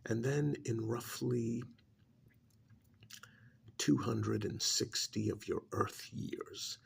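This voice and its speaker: Man voicing a slow, gravelly, disdainful ancient creature. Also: medium pitch, steady tone, exaggerated voice. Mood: cold, commanding. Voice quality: gravelly, husky, breathy half-whisper. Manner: menacing, contemptuous, deliberate. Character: ancient disdainful male creature possessing a dead man's body. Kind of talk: ASMR roleplay, slow dramatic monologue.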